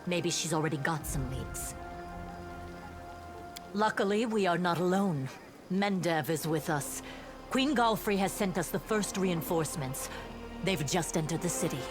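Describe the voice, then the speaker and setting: Female paladin officer, lowish voice, formal and measured, earnest, fantasy game dialogue, clear music behind. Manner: formal, measured military report. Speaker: game character reporting to a commander.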